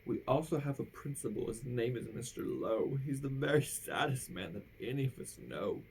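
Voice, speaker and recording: A woman reading a children's rhyming picture book aloud with theatrical, drawn-out emphasis at the ends of phrases. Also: rumbling low, expressive, a little mellow, a little hiss or hum, rough recording. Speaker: storybook reader.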